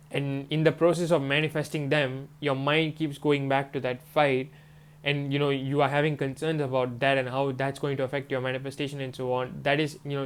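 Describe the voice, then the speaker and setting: A man's voice: medium pitch, clear, resonant tone. A man, a manifestation coach, talking casually and rambling with lots of fillers, his voice stuffy from a cold. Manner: rambling, many fillers like "you know" and "um". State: congested from a stuffy nose and swollen throat. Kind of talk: casual talk to camera.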